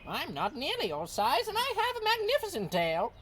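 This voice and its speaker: Woman voicing a high-pitched boastful little monkey. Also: huge pitch swings, moderate pace, a little hiss or hum.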